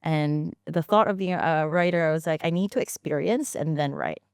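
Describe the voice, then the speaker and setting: A woman in her thirties talks through her story in a relaxed interview, looping back with restarts and fillers as she goes. Her voice sits fairly low and sounds smooth and clear. The sound is close and dry. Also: normal speed, no room sound. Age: 30s. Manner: chatty, many restarts and fillers, laughs easily. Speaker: founder and guest.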